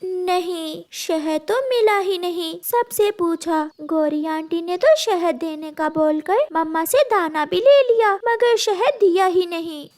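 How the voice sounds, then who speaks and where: A woman voicing a caring, polite young girl bird in a cartoon story, gentle and earnest like a child. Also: very high-pitched, takes it slowly, few pauses.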